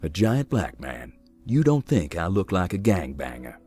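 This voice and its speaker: A man playing a giant, easygoing, wry explorer in a visual novel, talking in a folksy, deadpan way. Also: low-pitched, normal speed, slightly rough, heavily acted. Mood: dry, polite. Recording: music behind the voice.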